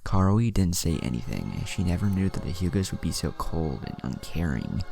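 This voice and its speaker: A man narrates fiction in an extremely low voice with a gravelly, creaky edge. His intonation moves around a lot as he reads the story, with music playing behind him. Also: big pitch swings, normal speed. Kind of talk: storytelling narration, reading aloud with character dialogue. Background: background music.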